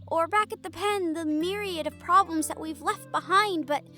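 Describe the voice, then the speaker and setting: A woman's voice: extremely high pitch, normal speed, pushed and bright, faint music behind. A woman acting in an intimate audio roleplay as an anxious, tender young woman from a family of hunters, speaking earnestly and hesitantly. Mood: worried, earnest.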